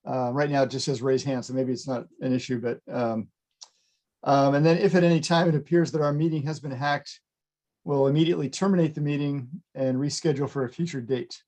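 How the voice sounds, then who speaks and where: A man chairing a community advisory meeting over a video call, talking haltingly with many fillers and restarts. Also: mid-range voice, steady tone, a little mellow.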